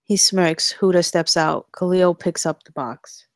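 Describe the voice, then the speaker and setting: A woman with a notably low voice reads screenplay action lines. It is scripted narration for a table read. The sound is dry, with little room around it. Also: steady tone, unhurried, no room sound. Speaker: stage directions narrator.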